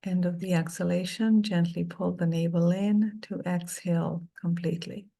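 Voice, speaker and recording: A middle-aged woman leading a guided meditation, speaking softly and soothingly with long pauses between phrases. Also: on the low side, steady tone, vocal fry.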